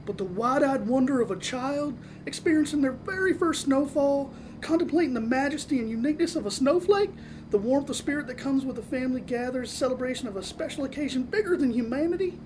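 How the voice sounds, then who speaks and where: A woman's voice: sits high, lively intonation, brisk, background noise. A woman playing a gleefully evil snowman in an audio drama, gloating with theatrical mock sweetness.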